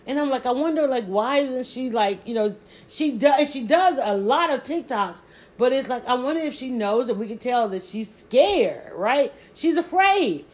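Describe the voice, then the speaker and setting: A woman with a Southern accent chatting casually on a live stream, talking quickly and restarting her sentences often. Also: high-pitched. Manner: rapid, stumbling restarts, animated emphasis. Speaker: social media creator.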